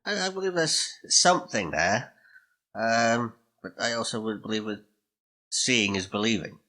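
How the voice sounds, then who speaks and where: A man's voice: lowish voice, animated, measured pace, bright, forceful tone. A man telling stories in a casual chat, feeling his way through his memories. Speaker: podcast host.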